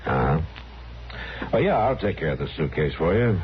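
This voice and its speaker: An actor playing a calm, firm, laconic frontier marshal in an old-time radio western. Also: male voice, very deep voice. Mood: easygoing, helpful. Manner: laconic, unhurried. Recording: muffled, noisy old radio recording.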